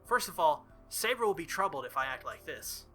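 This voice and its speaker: A man's voice: a little high, big pitch swings, brisk, sharp tone, soft background music, exaggerated voice. A man voicing an earnest, flustered teenage visual novel hero in a hesitant, stammering, insistent way. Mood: flustered, self-reassuring.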